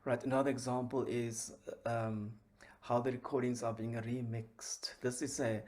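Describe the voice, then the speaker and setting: A man giving an academic conference presentation in a measured, halting way, searching for words as a researcher. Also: mid-range voice, flat delivery, a little mellow, slight background noise.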